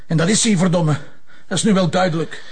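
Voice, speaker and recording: An actor playing a hot-tempered, anguished police commissioner with a Flemish accent and a hoarse voice, curt and bitter. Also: male voice, sits high, brisk, exaggerated voice. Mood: bitter anger. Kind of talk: TV crime drama acting.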